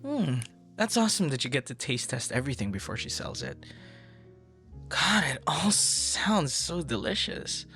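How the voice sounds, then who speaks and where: A man acts out a charming, easily flustered young guy in an intimate boyfriend-style audio roleplay. His speech is lively and melodic with a gravelly creak at the edges. He chats casually, teasing and tripping over his words, with faint music underneath. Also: fairly high pitch, heavily acted. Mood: curious, admiring. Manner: chatty, teasing, halting with playful stammers. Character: friendly, flustered young male traveler charmed by a stranger.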